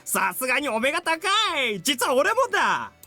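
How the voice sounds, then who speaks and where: A man's voice: very high-pitched, big pitch swings, fast, pushed and bright, faint music behind, heavily acted. A man voicing a brash, cheeky young male customer in a visual novel, with a theatrical, cocky delivery. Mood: cocky, playful. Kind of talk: Japanese visual novel voice acting.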